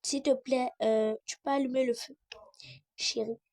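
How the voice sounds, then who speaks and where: Woman acting demanding dad, doting, hesitant orders, fairly high voice, slow, amateur skit. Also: fairly even intonation, a little mellow. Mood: ordering. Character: doting, demanding husband and father.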